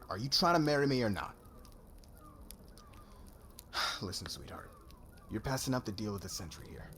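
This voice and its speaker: A man's voice: on the low side, fairly bright tone, a little hiss or hum. A man playing a cocky young warrior suitor in an ASMR roleplay, with a slangy, brash, guarded way of talking. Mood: irritated, cocky.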